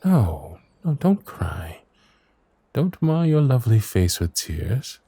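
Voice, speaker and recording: A man playing a gentle, dutiful young knight of the royal guard in a romantic fantasy audio roleplay, with soft, breathy speech and formal, courtly, hesitant phrasing. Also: mid-range voice, big pitch swings, slow, dry recording, full character voice. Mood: sorrowful, devoted.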